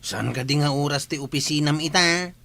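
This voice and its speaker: A man as a sharp, higher-pitched village messenger. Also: fairly quick, vocal fry, no room sound. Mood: urging. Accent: Ilocano. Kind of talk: Ilocano radio comedy drama acting.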